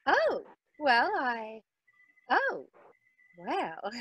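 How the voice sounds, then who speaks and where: A woman's voice: sits high, wildly swooping pitch, very slow, fairly bright tone, breathy edge, no echo, full character voice, low-quality recording. A woman playing a cool, sly young niece in 1940s radio drama, taunting with sugary sarcasm. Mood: coy.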